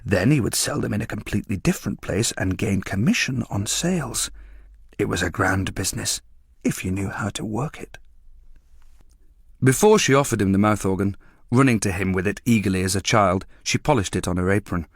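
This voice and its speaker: A man's voice: lowish voice, animated, brisk, slightly rough, no echo, clean studio sound. A man reading a literary short story as a scripted narration.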